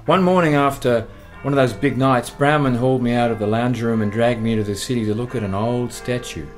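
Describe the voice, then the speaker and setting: A man's voice: medium pitch, brisk, faint music behind, natural voice. An actor with an Australian accent narrating a radio play as a young man recalling his bohemian days, in a dry, wry tone.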